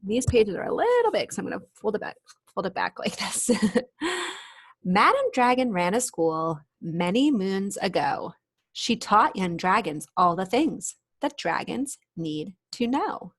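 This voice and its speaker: Woman reading to children, very animated pitch. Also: measured pace, breathy edge. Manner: explains and asks questions to young listeners. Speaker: storybook reader for children. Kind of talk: expressive read-aloud with chatty asides.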